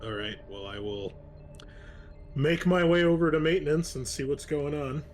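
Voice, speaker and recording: A man's voice: on the high side, normal speed, slight background noise. A man playing a tabletop game, talking casually and colloquially in a playful, grumbling way.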